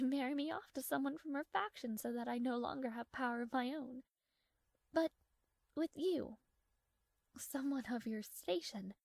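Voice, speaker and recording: Woman voicing a proud young princess, high-pitched, dry recording, formal diction, imperious yet flustered, tsundere, romantic audio drama. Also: a little mellow, no echo, exaggerated voice. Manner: haughty, formal, flustered hesitations. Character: proud, strong-willed tsundere young princess, guarded but fond. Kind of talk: scripted romantic audio roleplay addressed to the listener.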